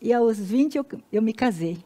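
Halting older Brazilian woman, mellow voice. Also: fairly high pitch, animated. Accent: Brazilian Portuguese. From Paraná, Brazil. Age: 60s. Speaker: interview guest. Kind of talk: personal life story in an interview. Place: indoor TV-style studio.

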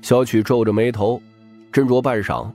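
A man's voice: mid-range voice, fairly even intonation, moderate pace, mellow, faint music behind. A man reading scripted, dramatic audiobook narration.

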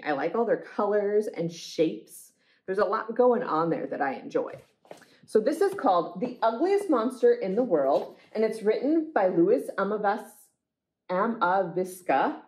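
A woman's voice: mid-range voice, big pitch swings, moderate pace, darkish tone. A woman hosting a children's storytime, speaking expressively to young listeners and often putting questions to them. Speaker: library storytime host. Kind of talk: children's storytime, reading aloud and chatting to young viewers.

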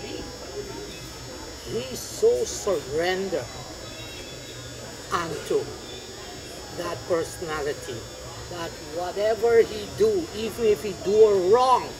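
Slow, earnest older man in a crowd. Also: high-pitched. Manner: earnest, preachy, spiritual. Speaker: market visitor. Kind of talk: casual debate. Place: large indoor flea market hall. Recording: busy crowded hall behind.

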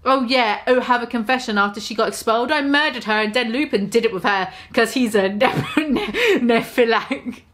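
A woman's voice: on the high side, expressive, moderate pace, few pauses, a bit bright. Woman as breezy, morbid goth schoolgirl. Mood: blithe. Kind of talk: mock-dramatic fan fiction reading.

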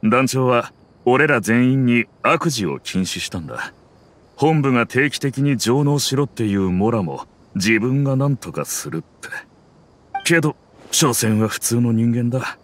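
A man's voice: mid-range voice, lively intonation, measured pace, a little hiss or hum, close to an everyday voice. An actor voicing a bitter, resolute male mercenary veteran in a game dub, recounting events earnestly.

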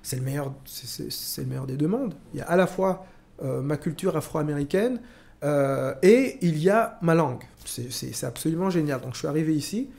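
A man in his forties from Rwanda, talking slowly and hesitantly with many restarts, recorded on a studio boom mic in a small room. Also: lively intonation, vocal fry, a little mellow. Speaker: podcast host. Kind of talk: reflective conversation. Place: small room with pink walls, indoors. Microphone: studio mic on boom arm.

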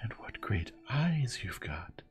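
A man voicing a frightened little girl in a fairy tale, speaking high and in breathy whispers. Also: sing-song, slow pace, soft background music.

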